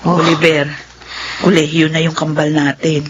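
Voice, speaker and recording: A woman's voice: low-pitched, flat delivery, normal speed, muffled recording, a little hiss or hum, close to an everyday voice. A woman playing a hopeful, weary working mother of twins in a radio drama, earnest and motherly. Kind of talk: naturalistic radio drama acting.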